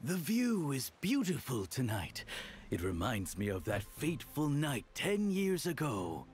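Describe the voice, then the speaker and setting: A man's voice: medium pitch, wildly swooping pitch, measured pace. An actor playing a smug, cruel aristocratic father who is the villain, speaking theatrically with a sneer. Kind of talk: video game voice acting.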